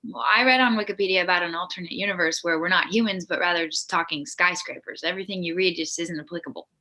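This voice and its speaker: A woman's voice: fairly low pitch, fast, pushed and bright, poor audio. A woman playing a blunt, exasperated sixteen-year-old girl in a comedy script table read.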